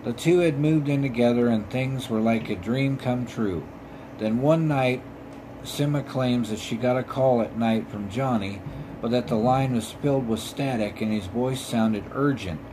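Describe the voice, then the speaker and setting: A man hosting a podcast, reading scripted paranormal stories in a halting way, stumbling over words and repeating them. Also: medium pitch, background noise. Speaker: podcast host.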